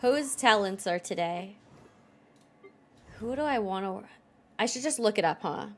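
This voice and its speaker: A woman's voice: medium pitch, lively intonation, moderate pace, faint music behind. Casual chatty woman livestreaming a game. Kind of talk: casual livestream chat while gaming.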